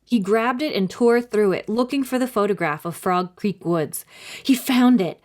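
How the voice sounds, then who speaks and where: A woman narrating a children's story in a dramatic, clearly phrased, expressive reading style. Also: medium pitch, moderate pace.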